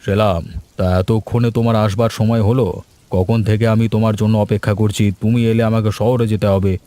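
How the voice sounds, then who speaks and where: A man voicing a pompous, authoritative village landlord in a cartoon folk tale, stern and deliberate. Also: on the low side, level tone, dark tone, no room sound, natural voice. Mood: impatient. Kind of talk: animated folk tale voice acting.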